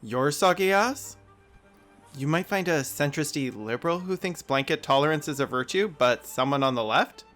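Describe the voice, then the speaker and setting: A man's voice: fairly high pitch, expressive, moderate pace, fairly bright tone, soft background music. A man hosting a video essay, mixing sarcastic, mocking asides with plain argument.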